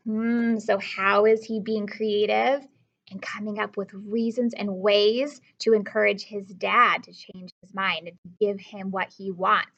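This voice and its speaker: A woman's voice: mid-range voice, little pitch movement, a little slow, fairly bright tone, muffled recording. A woman teacher leading a read-aloud lesson for young children, speaking expressively, asking questions and explaining things simply.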